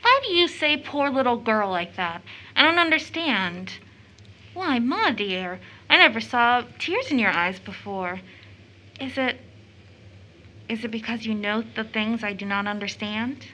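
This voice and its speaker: Bright, high-voiced earnest girl. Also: lively intonation. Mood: anxious, bewildered. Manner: fervent, emotionally heightened, halting. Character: earnest, devout girl longing for motherhood. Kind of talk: stage-play audio drama acting.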